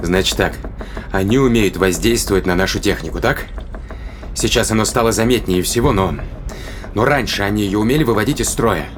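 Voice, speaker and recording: A male actor plays a determined man pushing a desperate plan in an audio play. His voice sits fairly low and he argues insistently, piling up rhetorical questions. There is noise behind him. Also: a little fast, natural voice. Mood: urgent reasoning. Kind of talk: dramatic audio play acting.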